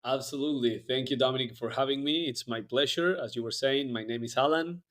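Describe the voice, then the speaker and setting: A man's voice: mid-range voice, a little fast, fairly bright tone, faint music behind. Man chatting, many fillers. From Argentina. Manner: rambling, frequent fillers and restarts. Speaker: podcast guest. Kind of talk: casual interview chat.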